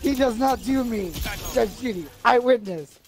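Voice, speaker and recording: A man's voice: very high voice, moderate pace, faint music behind. A man reading an absurd comedy script aloud on a livestream, stumbling over and repeating lines.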